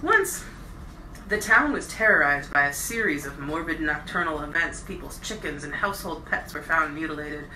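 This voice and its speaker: A woman reading a novel aloud as a bedtime storyteller, with expressive, deliberate phrasing and stressed words. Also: sits low. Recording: roomy echo, distant mic, faint noise.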